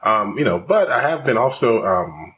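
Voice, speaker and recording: A man from Houston, Texas, a physician guest talking fast with many fillers in a podcast interview. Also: on the low side, big pitch swings, a bit bright, slight background noise. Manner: rapid, many fillers and restarts.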